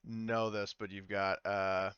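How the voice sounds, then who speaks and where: A man acting as game master in a casual tabletop session, explaining things in a hesitant, halting way. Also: lowish voice, flat delivery, fairly bright tone, clean tone, dry recording, dull audio.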